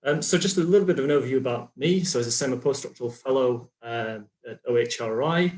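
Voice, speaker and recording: A man's voice: medium pitch, fast, a little mellow. A man from the UK with a British accent, a postdoctoral researcher giving a conference presentation over a video call, explaining with frequent ums and uhs. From United Kingdom.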